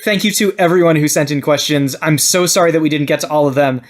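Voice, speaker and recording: A man's voice: fairly high pitch, fast talker. A man hosting a podcast in a casual, conversational style among friends. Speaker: podcast host. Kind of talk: casual group chat.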